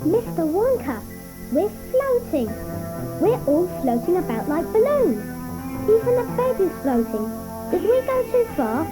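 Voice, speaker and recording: An earnest, polite young boy, voiced by a woman in a radio play. His voice is very high and lively, with music underneath. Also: measured pace, exaggerated voice, poor audio. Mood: wondering. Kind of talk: studio radio play acting.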